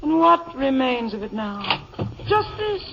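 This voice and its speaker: High-voiced, gushing theatrical diva. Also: female voice, big pitch swings, a little slow, gravelly, noisy background, heavily acted. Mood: melodramatic. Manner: gushing, melodramatic, affected. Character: vain, affected, theatrical stage actress, gushing diva. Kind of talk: 1950s radio comedy acting. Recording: muffled old radio broadcast, hall reverb.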